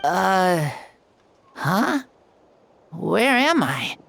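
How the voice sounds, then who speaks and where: A woman's voice: lowish voice, very animated, creaky, fairly bright tone, slight background noise, full character voice. A woman voicing a timid, earnest young child in a video game, hesitant and full of wonder. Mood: groggy, confused. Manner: hesitant, unhurried.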